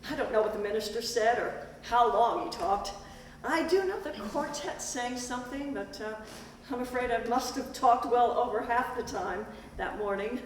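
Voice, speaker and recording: An earnest, gracious lady of the 1920s, acted by a woman tells her story in a stage play. Her manner is polite and measured, her voice fairly high, carried through a very echoey hall with some noise. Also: close to an everyday voice. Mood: storytelling. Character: Miss Butler, earnest gracious woman school organizer of the 1920s. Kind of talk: amateur stage play, narrating and acting. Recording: distant echoey hall recording, background noise.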